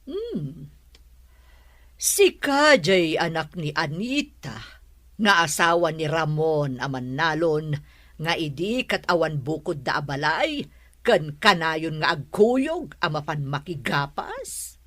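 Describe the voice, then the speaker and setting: A woman plays a judgmental mother in an audio drama, bright and forceful. Her slow, scornful delivery swings widely in pitch, recorded dry and close. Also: very animated, dry recording, exaggerated voice. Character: stern, disapproving middle-aged mother, judgmental and sharp-tongued. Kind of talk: Ilocano audio drama acting.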